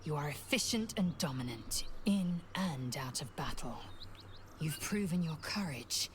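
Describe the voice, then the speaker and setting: An actress voicing a fierce, proud alien warrior woman in a video game, blunt and imperious, with a breathy, half-whispered delivery. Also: low-pitched, lively intonation, unhurried, a little hiss or hum. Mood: admiring, intense. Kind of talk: video game character dialogue.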